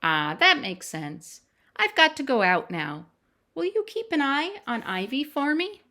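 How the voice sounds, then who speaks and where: A woman's voice: high-pitched, sing-song, unhurried, sharp tone, pitched above the performer's own voice, full character voice. A woman voicing an earnest, polite young storybook child with a questioning lilt and exaggerated politeness. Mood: earnest, curious.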